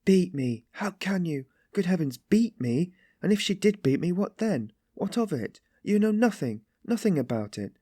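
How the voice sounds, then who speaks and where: A man's voice: mid-range voice, normal speed, a little mellow, no echo, clean studio sound, pitched above the performer's own voice, lightly acted. A man voicing an anguished, meek but passionately compassionate young woman, pleading in breathless exclamations and repetitions for an audiobook story. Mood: impassioned pleading.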